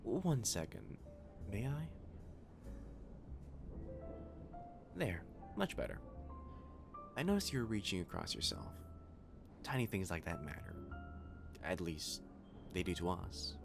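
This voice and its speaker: Man as a low, creaky-voiced catboy waiter. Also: very animated, measured pace, long pauses, over music, exaggerated voice. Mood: teasing, fond. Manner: gentle, soothing, lightly teasing. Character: caring, teasing male catboy cafe waiter. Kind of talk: ASMR roleplay.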